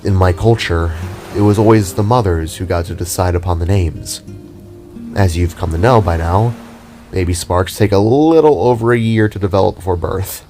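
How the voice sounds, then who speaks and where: A man's voice: low voice, moderate pace, mellow, soft background music, natural voice. A man voicing an affectionate alien father-to-be in a fantasy audio drama, casual and earnest. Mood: explaining, earnest. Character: affectionate male alien spark, devoted expectant father.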